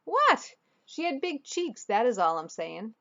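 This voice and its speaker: A woman voicing a playful, excitable dad in a storybook, teasing and exclaiming. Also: a little high, unhurried, dull audio, voice pushed up, full character voice. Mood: joking.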